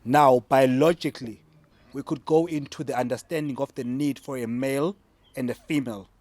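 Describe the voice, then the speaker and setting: A man's voice: mid-range voice, fairly even intonation, moderate pace, darkish tone, no echo. A middle-aged South African man from the Eastern Cape, a native Xhosa speaker, arguing his point emphatically and repeating key lines in a casual debate. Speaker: discussion panelist.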